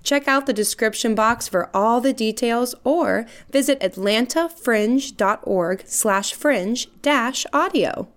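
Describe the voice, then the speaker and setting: A woman's voice: a little high, animated, slow pace, hardly any pauses. A woman reading a scripted promo and show introduction as a podcast network announcer. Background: music.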